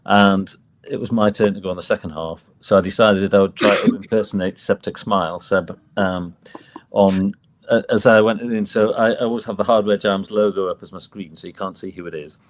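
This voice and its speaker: A man's voice: lowish voice, fairly even intonation, a little fast. A man with a mild Northumbrian accent, a chatty, self-deprecating guest who laughs often as he tells stories. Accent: Northumbrian, mild Geordie. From Northumberland, England. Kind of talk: casual interview chat.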